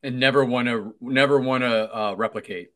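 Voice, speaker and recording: A podcast co-host with a bright, forceful voice keeps the chat light. He jokes with the guest and laughs often. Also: medium pitch, fairly even intonation, normal speed. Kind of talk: casual chat.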